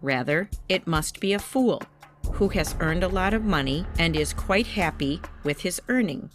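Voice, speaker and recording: A woman reading a scripted children's story as a narrator, in an expressive, deliberate storybook manner. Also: on the low side. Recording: music behind the narration. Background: background music.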